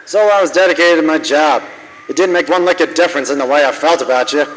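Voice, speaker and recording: An actor playing a world-weary, wisecracking private detective in a noir radio drama, tough, slangy and wry. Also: male voice, fairly high pitch, fast talker, a bit bright, close to an everyday voice. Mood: guarded. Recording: long hall reverb, music behind.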